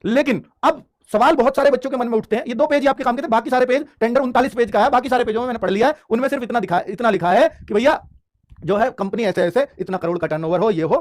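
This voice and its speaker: A man's voice: extremely high pitch, fast talker. A man giving an informal explainer lesson as a coaching teacher, emphatic and repetitive, often checking in with his students.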